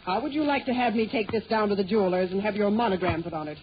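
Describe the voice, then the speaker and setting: A woman's voice: mid-range voice, quick pace, close to an everyday voice. A woman playing a bossy, suspicious middle-aged aunt in a 1940s radio sitcom, imperious and tart. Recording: muffled 1940 radio recording, hiss.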